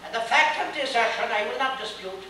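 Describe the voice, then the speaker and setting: A man's voice: very high voice, fairly quick, sharp tone, pitched above the performer's own voice. A man voicing a bombastic creature barrister, pleading in pompous, declamatory verse. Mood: pompous, persuasive. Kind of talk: dramatic poetry reading. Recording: distant, very echoey hall, faint hiss.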